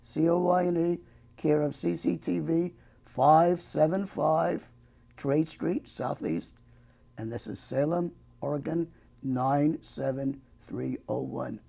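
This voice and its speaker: Older male talk host, gravelly and warm-toned, folksy, jokey. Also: fairly high pitch, takes it slowly. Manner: folksy, joking asides. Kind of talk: local access TV talk show.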